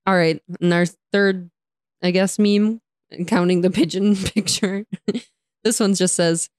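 A young woman co-hosting a casual chat, chatty and laughing often, her voice a little raspy from talking all day. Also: medium pitch, steady tone, measured pace, darkish tone, dry recording, clean studio sound. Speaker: podcast co-host.

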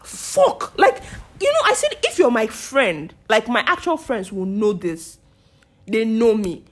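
A young woman carries the chat in a loud, hyped way, telling stories with lots of energy. Her voice sits a little high. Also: measured pace. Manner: loud, hyped, animated storytelling. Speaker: podcast host. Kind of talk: casual chat.

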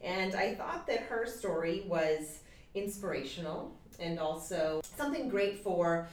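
A woman's voice: lowish voice, expressive, measured pace, reverberant. A woman hosting a casual interview in an office, encouraging her guest with many short affirmations.

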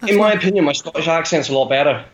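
A man's voice: fairly high pitch, racing, a crowd in the background. A man in a band joking around in a group interview, often breaking into exclamations. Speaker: band member being interviewed. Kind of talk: jokey group banter. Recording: dull, echoey room sound.

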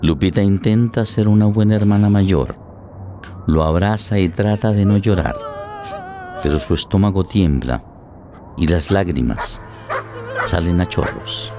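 A man narrates a radio drama from a script in a low voice. Music plays clearly behind his dramatic reading. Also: a little slow, pauses often, a little mellow.

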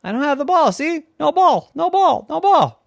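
An adult reader voicing a dramatic, possessive young boy who whines in a high voice. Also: very animated, moderate pace, gravelly, a little mellow, dry recording, clean studio sound, exaggerated voice. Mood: whiny. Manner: whiny, wailing high voice.

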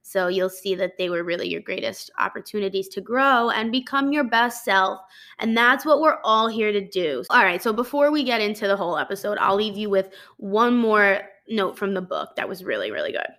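A woman's voice: medium pitch, expressive, normal speed, pushed and bright. A woman in her twenties hosting a solo podcast, chatty and upbeat, rambling with lots of fillers and laughing as she talks into a microphone. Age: 20s. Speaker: podcast host. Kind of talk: casual solo podcast talk. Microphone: studio microphone.

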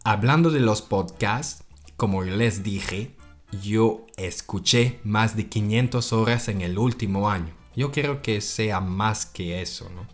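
A man with a lowish voice talks through a relaxed podcast monologue at an unhurried pace. He corrects himself as he goes and keeps checking in with a questioning "no?". Faint music sits quietly behind him. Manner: slow, self-correcting, often adds a questioning "no?". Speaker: podcast co-host. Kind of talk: casual podcast monologue for Spanish learners.

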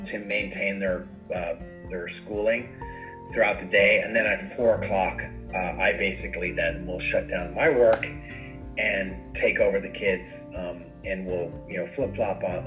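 A man's voice: low voice, rough recording. A middle-aged man from Ireland, a podcast guest talking casually and rambling with many fillers and restarts. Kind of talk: casual interview chat.

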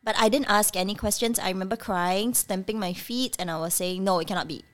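A woman's voice: mid-range voice, fairly quick. A woman in her thirties whose first language is Cantonese, candidly telling her childhood story as a podcast guest. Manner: candid, animated storytelling with many fillers. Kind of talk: personal life story interview.

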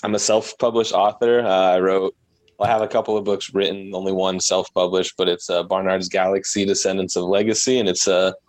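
A man's voice: deep voice, little pitch movement, a little fast, no room sound. A young American man chatting casually as a podcast guest, rambling a little and self-deprecating. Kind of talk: casual chat.

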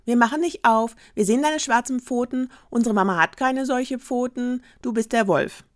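A woman voicing a group of little goat kids who loudly refuse to open the door. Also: fairly high pitch, normal speed, dry recording, clean studio sound, pitched above the performer's own voice, close to an everyday voice. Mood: defiant. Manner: shouting together, defiant. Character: chorus of small young goat kids, stubborn.

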